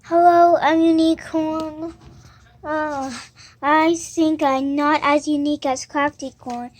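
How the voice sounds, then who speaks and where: Girl doing a needy cartoon unicorn, high whiny voice, slow, pleading and overdramatic. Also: fairly bright tone, no echo. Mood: downcast, unsure. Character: insecure, clingy unicorn critter mourning lost friends. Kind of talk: amateur character voice acting.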